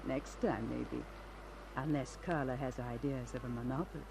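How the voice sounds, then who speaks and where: A sly, flirtatious noir dame. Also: female voice, extremely low pitch, steady tone, normal speed, creaky, noisy background. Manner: coy, teasing. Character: flirtatious, sly blonde femme fatale. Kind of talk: old-time radio crime drama acting. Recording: old radio recording with faint music.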